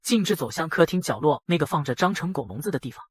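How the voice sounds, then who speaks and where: A man voicing a brash young factory boss who narrates his own dramatic motion-comic story in a husky voice. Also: a little high, animated, fast talker, dry recording. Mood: dramatic narrating. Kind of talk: dramatic first-person scripted narration.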